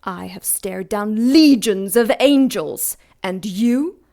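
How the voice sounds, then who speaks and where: Ancient female demon, haughty, vengeful, contemptuous, mid pitch, radio drama. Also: slow, mellow. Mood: menacing. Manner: grandiose, menacing, contemptuous. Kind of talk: audio drama acting.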